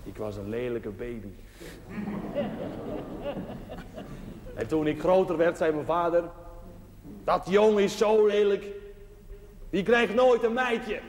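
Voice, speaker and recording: An actor playing a plain-spoken, earnest village chaplain, preaching a dramatic sermon in short, deliberate phrases. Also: male voice, high voice. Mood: wry. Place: large reverberant interior. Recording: echoey church hall, noisy old TV audio.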